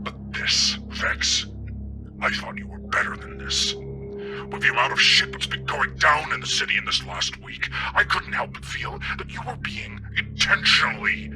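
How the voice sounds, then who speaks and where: A man voicing a stern, gruff gang boss in a tabletop game, in a breathy, rough growl, curt and prone to swearing. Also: medium pitch, very animated, measured pace, pitched above the performer's own voice, low-quality recording. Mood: seething, disappointed. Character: seething gruff male gang boss in a gas mask. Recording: background music under the voice.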